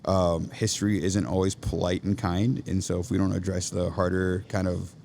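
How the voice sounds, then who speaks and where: Low gravelly man, earnest talker. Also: little pitch movement, moderate pace, darkish tone, slight background noise. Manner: earnest, thinks aloud with many "right?" and "like". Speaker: podcast guest, education nonprofit leader. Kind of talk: casual interview chat. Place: coffee shop.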